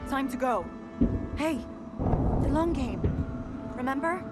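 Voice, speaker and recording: A woman's voice: high voice, animated, slow pace, over loud music. An actress playing a resolute female resistance leader in a video game cutscene, earnest and direct. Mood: urgent.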